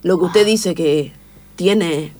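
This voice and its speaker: A woman playing an aimless, excitable seeker in a comic radio play, hesitant, rambling and naively enthusiastic. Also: on the low side, slow.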